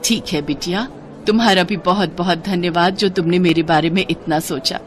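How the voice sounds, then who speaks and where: A woman's voice: on the low side, moderate pace, soft background music, voice pushed down, close to an everyday voice. A woman voicing a humble, hardworking poor street food seller in a story, earnest and respectful. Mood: humble, grateful. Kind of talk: storybook character acting.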